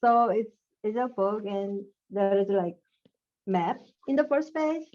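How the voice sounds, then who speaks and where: Guest author, Korean accent, warm low tone, searches for words; muffled recording. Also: female voice, expressive. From South Korea. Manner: halting, searching for words, laughs often. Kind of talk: casual interview. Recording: dull lo-fi sound.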